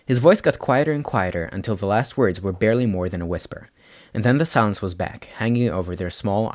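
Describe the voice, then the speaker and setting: A man's voice: fairly low pitch, a little mellow. A man reading a story aloud as scripted narration, as an amateur audiobook reader.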